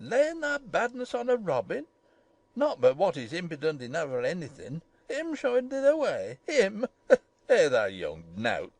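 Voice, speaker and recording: Lady doing cranky old yorkshire gardener guy, blustering, pitch all over the place, audiobook. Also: huge pitch swings, measured pace, darkish tone, no echo, full character voice. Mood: outraged, incredulous. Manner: blustering, haranguing. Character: grumpy, indignant old male gardener, scolding. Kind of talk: audiobook character voice.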